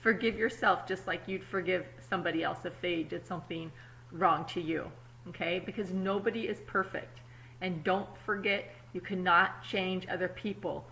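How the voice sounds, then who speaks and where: A woman, apparently a teacher, reads a picture book aloud to children expressively, pausing to explain words simply and check in with her young listeners. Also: fairly low pitch, gravelly, a bit bright, a little hiss or hum, rough recording. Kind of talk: children's storybook read-aloud with asides.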